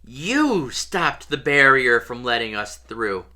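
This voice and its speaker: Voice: mid-range voice, big pitch swings, normal speed, pushed and bright. A reader voicing a schoolboy wizard hero, wary and indignant, with blunt questions. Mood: suspicious.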